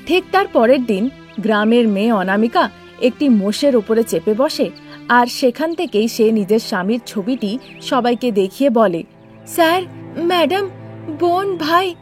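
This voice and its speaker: Woman, story narrator, very high-pitched, scripted cartoon narration, background music. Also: animated, normal speed, darkish tone.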